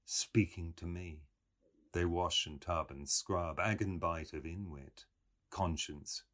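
A man's voice: sits low, steady tone, slow pace, fairly bright tone, heavily acted. Male reader as introspective young man, literary fiction. Dry, terse, ironic. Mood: brooding, wry.

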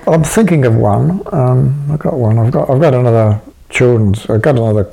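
A man's voice: mid-range voice, fairly quick, creaky, mellow, husky, poor audio. A man being interviewed about his writing, talking casually and rambling with many restarts and fillers. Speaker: interviewed writer.

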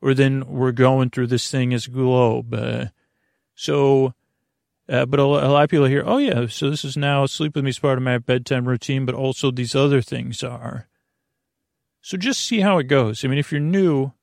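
A man hosting a bedtime podcast in a creaky voice, meandering and repetitive, halting and wandering off on tangents. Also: medium pitch, fairly even intonation, a little mellow, no room sound, clean studio sound. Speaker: podcast host. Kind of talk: rambling bedtime podcast monologue.

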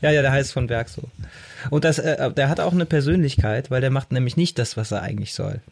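A man's voice: medium pitch, fairly quick, vocal fry. A man co-hosting a podcast, chatting casually with dry, playful humour. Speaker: podcast co-host.

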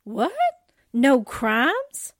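A woman's voice: on the high side, sing-song, very slow, fairly bright tone, no room sound, clean studio sound, exaggerated voice. A woman playing a wisecracking, sardonic newspaperwoman, full of slangy quips, in 1940s radio drama style. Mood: teasing, sardonic. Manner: sassy, slangy exclamations and quips.

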